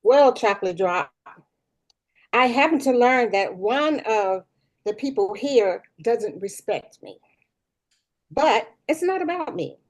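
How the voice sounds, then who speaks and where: A self-important woman principal, played by an actress in a sitcom read, with a fairly high voice that moves around a lot. She is breezy and petty, putting on a show of being reasonable. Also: very animated, slow pace, pauses often, full character voice. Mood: pompous. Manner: breezy, pompous, mock-reasonable. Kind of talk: casual table read of a sitcom script.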